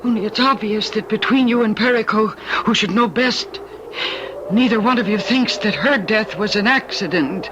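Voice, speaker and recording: An actress playing an earnest, compassionate young woman in an old-time radio drama, speaking in a measured, dramatic way. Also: mid-range voice, lightly acted. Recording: noisy, dull old radio recording.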